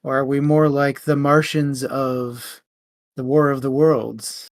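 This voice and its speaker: A man's voice: mid-range voice, level tone, takes it slowly, no echo, clean studio sound. A man hosting a casual book discussion. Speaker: host of a book discussion stream.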